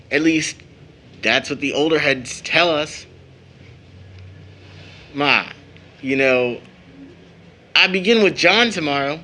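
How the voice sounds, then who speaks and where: A man playing a young brother and son in an audio drama, speaking in a measured, earnest, slightly wry way. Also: fairly high pitch, pushed and bright. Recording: noticeable background noise.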